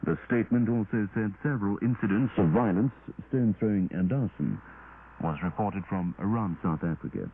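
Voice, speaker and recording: Over a thin, lightly noisy 1980s shortwave signal, a man reads the radio news. His voice is fairly low, and his pitch moves widely as he delivers the formal script in a measured way. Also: animated, narrow, tinny audio. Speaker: radio newsreader. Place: radio broadcast studio.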